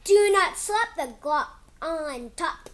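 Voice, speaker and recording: A child hosting a read-aloud of a picture book, reading haltingly like a beginner and drawing words out. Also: very high voice, animated, a little hiss or hum.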